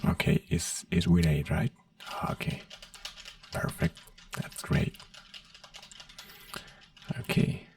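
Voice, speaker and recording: A man speaking softly and gently as an ASMR roleplay doctor, reassuring the listener in halting, whispery phrasing. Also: low-pitched, wildly swooping pitch, no room sound, distant mic. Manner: halting non-native phrasing with fillers. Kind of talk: soft-spoken ASMR roleplay.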